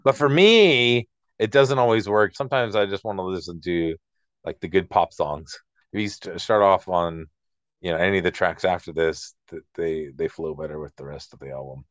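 A man's voice: sits low, moderate pace, no room sound. A man co-hosting a casual music discussion, searching loosely for his words, on a dry, dull recording. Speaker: music discussion podcast co-host. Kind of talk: casual album critique.

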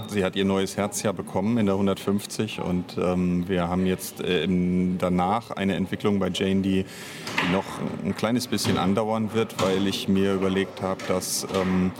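A man's voice: low-pitched, little pitch movement, moderate pace, crowd noise. A man speaking as an interview guest in casual conversation, searching for words as he explains at length. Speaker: interview guest, audio drama author and director.

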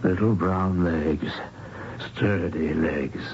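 Feeble confused old man on a muffled old radio drama, super slow, repeats himself, pleading. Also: deep voice, a little mellow, slight background noise, full character voice. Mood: confused, wistful. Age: older. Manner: halting fragments, forgetful, repeating himself. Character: frail, confused old doctor after a stroke, pleading and childlike. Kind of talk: 1970s radio drama acting.

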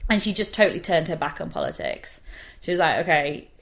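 A woman's voice: sits low, big pitch swings, a little fast, fairly bright tone. A woman in her twenties, co-hosting a podcast and telling a history story in a sarcastic, jokey, sweary way. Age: 20s. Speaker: podcast co-host. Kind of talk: casual chat, storytelling.

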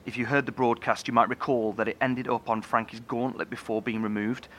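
A male engineer of a survivor bunker played by an actor, mid-pitched and sharp-toned. He is grave and cautious, explaining in a halting, solemn manner for a horror audio drama. A faint rumbling noise sits behind him. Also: level tone, lightly acted. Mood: grave, analytical. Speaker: lead engineer.

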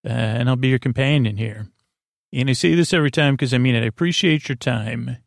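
A man hosting a sleep podcast, rambling in a whimsical, hesitant bedtime monologue with stammered false starts and a creaky, gravelly voice. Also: medium pitch, a little fast, no room sound, studio quality.

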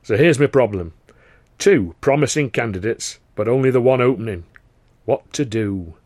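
A man's voice: mid-range voice, animated, normal speed, darkish tone, dry recording, studio quality, close to an everyday voice. A man voicing an imperious older theatre manager who is a born showman, theatrical and commanding. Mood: musing.

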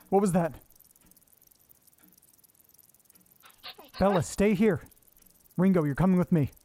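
An actor playing an anxious, lonely, self-doubting man who talks haltingly to himself in a horror audio drama. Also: sits high, dark tone, dry recording. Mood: tense, searching. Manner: halting, muttering, talking to himself. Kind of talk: audio drama monologue, naturalistic acting.

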